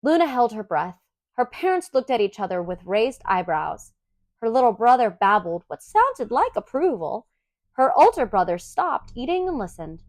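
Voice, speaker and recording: Animated, high-voiced woman reading a children's story. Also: moderate pace, dry recording. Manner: animated, clearly enunciated storytelling for young children. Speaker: storybook reader. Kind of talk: expressive children's read-aloud narration.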